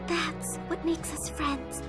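A woman playing a gentle, earnest, quietly resolute young ninja woman in an anime game dub. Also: sits high, fairly even intonation, slow. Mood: earnest, tender. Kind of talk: dubbed anime game acting. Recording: loud music under the voice.

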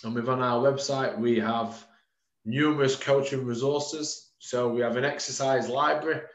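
A man's voice: mid-range voice, fairly even intonation, normal speed. A man in his thirties, a strength and conditioning coach, giving an informal webinar presentation over a video call, with frequent ums, calling his listeners guys. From United Kingdom. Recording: roomy, dull lo-fi webcam audio.

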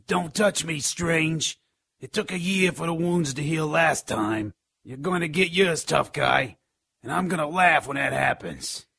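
Scrawny, resentful, excitable imp fence, higher-pitched and gravelly, radio drama creature role. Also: male voice, unhurried, no echo, studio quality, exaggerated voice. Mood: spiteful, resentful. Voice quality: gravelly, raspy. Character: scrawny, smelly male imp fence, spiteful and cowardly. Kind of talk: radio drama character acting.

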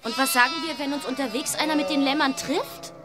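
A woman's voice: high-pitched, fairly even intonation, brisk, music in the background, lightly acted. A woman playing a down-to-earth, affectionate teenage girl in a children's radio play, frank and cheeky. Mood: sceptical, practical.

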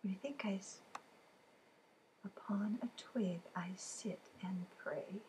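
A woman in her fifties reading riddle poems aloud for a children's storytime, expressive and deliberate, with chatty asides to the listeners. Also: medium pitch. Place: living room at home, indoors. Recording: clearly noisy room recording.

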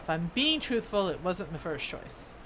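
A woman's voice: on the low side, sing-song, normal speed, background noise, pitched below the performer's own voice. Woman putting on a hearty old dwarf blacksmith. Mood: reminiscing. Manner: folksy, proud, reading scripted dialogue.

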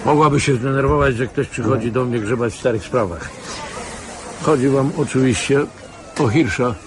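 A man's voice: medium pitch, moderate pace, noisy background, close to an everyday voice. An actor playing an older, retired secret-service colonel in a radio drama, wry and condescending as he speaks. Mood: weary, wry.

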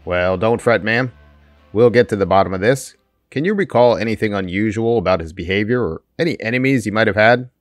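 A man's voice: lowish voice, brisk, no echo, faint music behind, lightly acted. A man playing an intrepid, confident private detective in a theatrical old-time radio serial style. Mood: reassuring. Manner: unflappable, theatrical. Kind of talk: 1940s radio detective acting.

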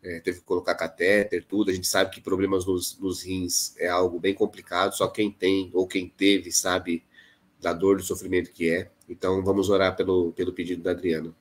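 A man's voice: low-pitched, little pitch movement, normal speed. A man in his forties with a cold and a nasal voice, leading a prayer group on a video call in a friendly, chatty way. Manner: friendly, chatty, lists names. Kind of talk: casual chat on a video call. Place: indoor room with a plain wall. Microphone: earphone headset mic on a video call.

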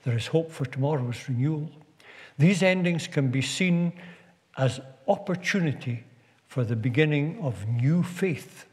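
A man preaching a sermon as a visiting minister, measured and deliberate with long pauses. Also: a little high, animated, a little mellow. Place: stone church. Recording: long church reverb.

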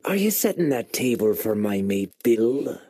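A man voicing a sly, menacing pirate rogue with a raspy, gravelly voice in an audiobook. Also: medium pitch, sing-song, mellow, dry recording. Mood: gruff. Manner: slow, sly, shifting from wheedling to threatening. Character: gravelly menacing pirate, pale one-handed sea rogue. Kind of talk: audiobook character voice.

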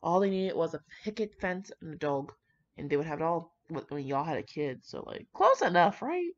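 Young girl reading fanfic out loud, low voice, slangy and casual, kinda muffled lo-fi audio. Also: big pitch swings, a little fast. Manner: informal, slangy, swearing, stumbles over words while reading. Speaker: fan fiction reader. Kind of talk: reading fan fiction aloud with casual, chatty asides. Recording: dull, muffled lo-fi home recording.

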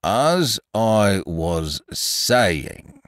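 Male reader as doleful, pompous old donkey for a storybook, very low, heavy delivery, close dry sound. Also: sing-song, a little slow, dry recording, heavily acted. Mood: stern. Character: gloomy, self-important old male donkey.

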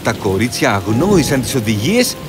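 Guy reading a kids' fairy tale, super animated voice, soft music under it. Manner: dramatic, highly expressive storytelling. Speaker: narrator. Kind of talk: scripted storybook narration.

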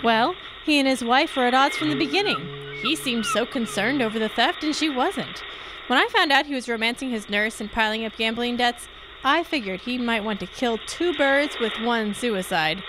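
A woman's voice: on the high side, animated, normal speed, noisy background, natural voice. A woman playing a confident, wry female private detective in an old-time radio drama, reading from a script on stage. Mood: confident, explaining. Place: on a stage, live performance. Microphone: handheld-style microphone on a stand.

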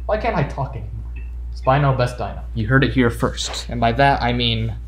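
A man's voice: medium pitch, fairly quick, roomy sound. A man giving casual video game commentary with jokey, playfully sarcastic asides. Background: video game music.

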